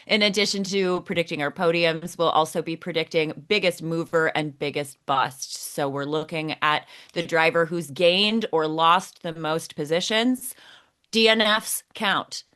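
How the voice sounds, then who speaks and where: A woman's voice: low voice, steady tone, unhurried, fairly bright tone, smooth. A woman co-hosting a podcast, explaining things in a conversational presenting style. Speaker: podcast co-host.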